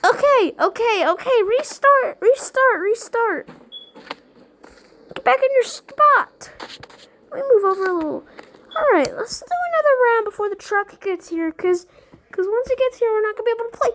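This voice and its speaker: A performer voicing excitable, bickering schoolkids at play in improvised dialogue, with casual, teasing slang and exclamations. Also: very high-pitched, normal speed, a little hiss or hum. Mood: eager, competitive. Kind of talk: playful improvised character dialogue.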